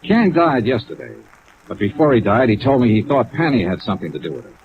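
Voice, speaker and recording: A man's voice: on the low side, very animated, fast, noisy background. A man playing a cool, wry insurance investigator in a 1950s radio detective drama, with clipped, matter-of-fact delivery and dry questioning, in an old recording. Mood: dry, pointed. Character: male insurance investigator, cool, probing, wry, hard-boiled. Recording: muffled old radio recording under rain sounds.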